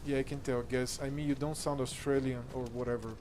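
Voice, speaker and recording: A man's voice: medium pitch, flat delivery, fast, background noise. A Brazilian man with a Brazilian Portuguese accent, casually commenting on a game he is playing and chatting with his stream viewers, reading the game text aloud under his breath and swearing freely. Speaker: gameplay streamer.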